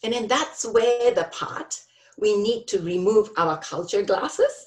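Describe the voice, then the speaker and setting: A woman's voice: medium pitch, lively intonation, measured pace. A middle-aged woman from Japan with a Japanese accent, presenting a workshop over a video call and phrasing things carefully, with frequent ums and restarts. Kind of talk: online workshop talk with slides.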